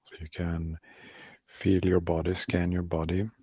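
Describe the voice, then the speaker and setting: A man guides a meditation in a low, creaky voice that barely rises or falls. He talks calmly in short, halting phrases, leaving long gaps between them. The delivery is soft and unhurried. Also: dry recording. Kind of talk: guided meditation, softly spoken instruction.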